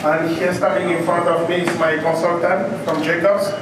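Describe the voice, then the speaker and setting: Man from Cameroon, high voice, earnest grateful speech, distant mic, very echoey noisy room. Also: flat delivery, moderate pace, over a noisy crowd. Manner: earnest, grateful, rambling anecdotes. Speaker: safety professional giving a speech. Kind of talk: speech to a gathering. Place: large echoey event space.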